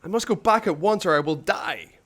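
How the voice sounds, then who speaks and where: Storybook little boy, played by a man: scared and breathless, high-pitched, swinging between cries and whispers. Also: lively intonation, brisk, fairly bright tone. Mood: panicked. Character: frightened little boy lost in a winter forest.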